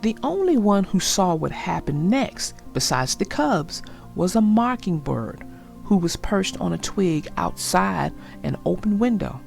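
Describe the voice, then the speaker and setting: A woman narrating a children's storybook aloud in a deliberate, expressive way. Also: lowish voice, creaky, soft background music.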